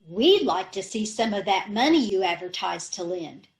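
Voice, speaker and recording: A woman's voice: mid-range voice, very animated, normal speed. A woman playing a scatterbrained young wife who mangles her sayings, in old-time radio comedy style, from home on a video call. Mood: chirpy. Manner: malapropisms, chirpy and sure of herself. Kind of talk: 1930s radio comedy acting.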